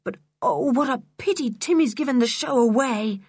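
A woman voicing an excited, bold schoolboy in a storybook reading. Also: high voice, moderate pace, husky, dry recording, voice pushed up. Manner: breathless, exclaiming.

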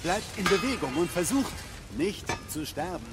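A man's voice: sits high, animated, moderate pace. A man playing a nervous, fussy, exasperated dwarf in a game-based audio drama. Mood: fretful. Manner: exasperated, fretful. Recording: music behind the voice.

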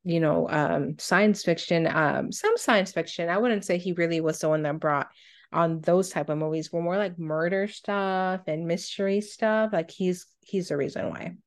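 Low-voiced woman chatting on a podcast. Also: moderate pace. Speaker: podcast co-host. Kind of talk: casual podcast chat.

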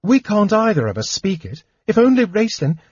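A determined young woman speaks briskly and impatiently, as a man reading aloud puts her on. Her voice is raised higher than his own, with a husky edge. The recording is dry and slightly dull. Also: big pitch swings, a little mellow, dry recording, heavily acted. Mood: urgent.

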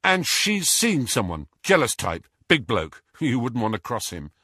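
A man's voice: mid-range voice, wildly swooping pitch, unhurried, sharp tone, husky, no echo, clean studio sound, exaggerated voice. A man voicing an earnest teenage boy wizard in an audiobook. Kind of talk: audiobook character voice.

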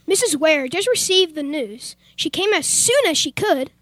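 Young woman as fussy master of the house, very high pitch, formal, irritable, radio comedy, dry. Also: animated, moderate pace, a bit bright, no echo. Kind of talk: comic old-time radio theater acting.

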